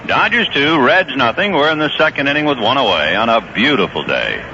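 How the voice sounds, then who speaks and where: A man calling a baseball game play by play on the radio, chatting easily about the players between pitches. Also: a little fast, slight background noise. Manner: relaxed, conversational storytelling between pitches. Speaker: play-by-play announcer. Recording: dull lo-fi 1970s radio broadcast.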